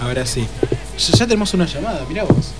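A man's voice: on the high side, expressive, quick pace. A man with an Argentine accent hosting a casual live radio interview, chatty and teasing, speaking into a studio microphone. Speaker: radio host. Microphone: shared studio microphone. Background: clear music.

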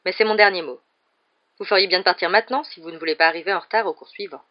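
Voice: mid-range voice, racing, dull audio, natural voice. A book narrator voicing a strict woman professor who speaks in a firm, curt, authoritative way. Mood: firm, regretful. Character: stern elderly strict woman professor, clipped and unyielding.

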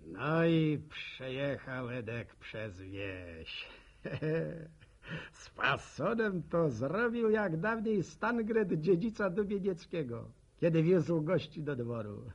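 Radio serial narrator: wry old countryman, gravelly, slow, on dull lo-fi recording. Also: on the high side, lively intonation, exaggerated voice. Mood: amused, wry. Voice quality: raspy, creaky. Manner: wry, leisurely folksy storytelling.

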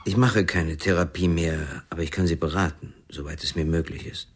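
Deep, husky, unflappable psychologist. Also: male voice, steady tone. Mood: composed, probing. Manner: measured, formal, parrying with questions. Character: unflappable, probing male psychologist turned counsellor. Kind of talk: German radio crime drama acting.

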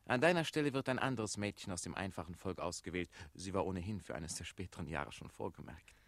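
A man's voice: low voice, quick pace, dry recording. A man acting a calculating, domineering young official, the mayor's son, in a radio play, commanding and self-important. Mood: smug, coaxing.

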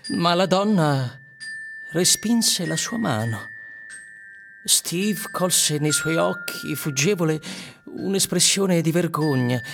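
An actor playing a grave storyteller, narrating an eerie tale for radio drama in a measured, solemn manner. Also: male voice, fairly high pitch, lively intonation, vocal fry, exaggerated voice. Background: faint music.

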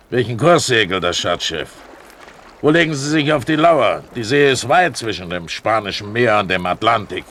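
Man acting a gruff drunken pirate captain, noisy recording. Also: mid-range voice, fairly bright tone, close to an everyday voice. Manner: gruff, swearing, sneering. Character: coarse drunken pirate captain, suspicious and grumbling. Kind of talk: German radio play acting. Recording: noisy old radio play recording.

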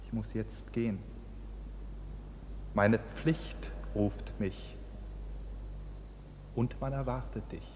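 An actor playing a stern priest of a court in a radio drama, solemn and sermonizing. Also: male voice, fairly low pitch, drawn-out words, long pauses, darkish tone, a little hiss or hum. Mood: grave, resigned. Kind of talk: dramatic radio play acting. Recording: long church-like reverb, background ambience.

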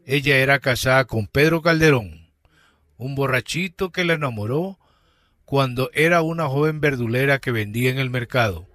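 A man's voice: medium pitch, moderate pace, fairly bright tone, no echo, clean studio sound. A man with an Ecuadorian accent narrating a radio drama from a script.

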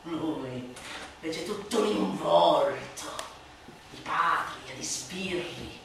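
A woman's voice: low voice, drawn-out words, gravelly. Echoey, theatrical woman reciting satire. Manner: declamatory, sardonic, swinging from hushed to shouted. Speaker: stage and radio actor performing a satirical monologue. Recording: very echoey room, distant mic, faint noise.

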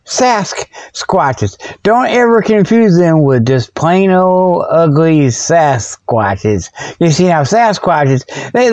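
A man's voice: on the high side, a little mellow, no room sound. A man hosting a comedy report show as a folksy, rambling monologue, often backtracking and repeating himself.

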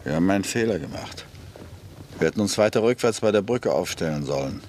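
Brief: a fervent revolutionary leader in a radio play, fast-talking, roomy sound. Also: male voice, low voice, expressive, darkish tone, slight background noise. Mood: resolute. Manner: urgent, insistent, rising fervor. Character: determined young male revolutionary leader. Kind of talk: radio drama acting.

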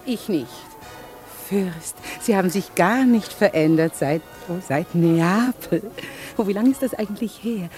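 An actress in a 1950s German radio play playing an affected, exclamatory high-society lady who flirts and teases. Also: a little slow, a little mellow, close to an everyday voice. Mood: excited, affected. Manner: affected, exclamatory, playfully teasing. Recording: 1950s radio recording with music.

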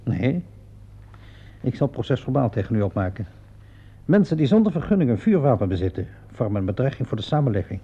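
Male police inspector, sardonic, probing, gravelly, animated intonation, radio drama, muffled old recording. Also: mid-range voice, fairly quick. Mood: mocking, stern. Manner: drawling, ironic questioning with little interjections. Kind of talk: radio play acting in Dutch. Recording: dull lo-fi radio play recording.

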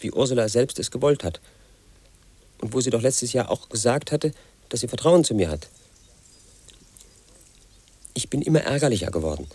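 An actor in his thirties playing an obsessive, aggrieved man who tells his story in a radio play monologue, in a flat, insistent, quietly resentful way. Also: medium pitch, slightly rough. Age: 30s. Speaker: narrator of his own story.

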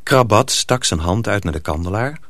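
An actor as the storyteller of a radio drama, narrating a dark folk tale in a measured, scripted way. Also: male voice, on the low side, animated, dry recording.

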